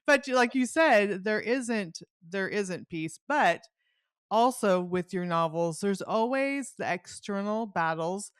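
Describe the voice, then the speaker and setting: A woman's voice: mid-range voice, expressive, slow, clear, resonant tone, no room sound, clean studio sound. A middle-aged woman hosting a casual book interview, enthusiastic and chatty, often interrupting her own sentences as she rambles. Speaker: podcast host.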